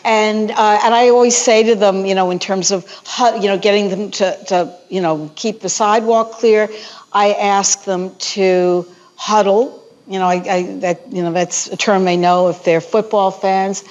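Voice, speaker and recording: A woman who gives walking tours, sharing tips in a panel discussion in an animated, chatty way with many fillers. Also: mid-range voice, normal speed, highs cut off. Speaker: walking tour guide, panelist.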